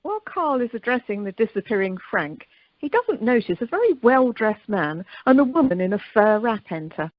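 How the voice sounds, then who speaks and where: A woman's voice: on the high side, big pitch swings, normal speed, no echo. A woman reading scripted stage directions as narrator of a radio play. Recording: dull, dry telephone conference line.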